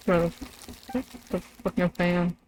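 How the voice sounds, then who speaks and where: A woman's voice: fairly low pitch, level tone, takes it slowly, darkish tone, a little hiss or hum. A woman giving casual live-stream game commentary in a slangy, sweary manner.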